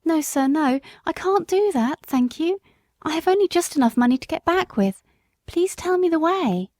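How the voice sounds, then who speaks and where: A woman voicing a poor young country mother in a storybook reading, speaking in a high, soft, pleading voice. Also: lively intonation, normal speed, no room sound, clean studio sound.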